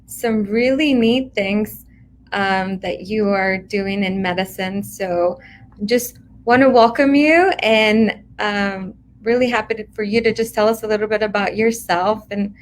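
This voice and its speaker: A woman's voice: mid-range voice, unhurried, a little hiss or hum. A woman hosting a casual live interview in a welcoming, encouraging way. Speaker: interview host.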